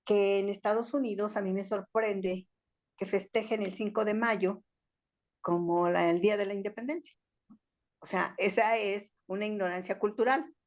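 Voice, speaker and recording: An older woman with a Mexican accent talks at a measured pace, explaining and reflecting like a teacher. She often pauses on fillers. The audio sounds slightly dull and lo-fi. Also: mid-range voice, a little mellow. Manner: thoughtful, lecturing, many fillers like "o sea" and "este". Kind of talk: reflective talk-show discussion.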